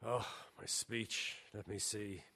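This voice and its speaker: An actor playing a reserved Irish gentleman, polite but defensive under questioning. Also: lowish voice, fairly even intonation, slow pace, full character voice. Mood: wistful.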